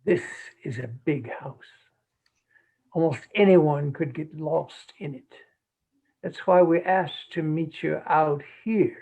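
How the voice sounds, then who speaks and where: Guarded, ominous man of the manor played by an actor, husky and slow, comedic mystery play, dull recording. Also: a little high, dark tone, full character voice. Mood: cold, menacing. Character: guarded, quietly menacing man of a wealthy household.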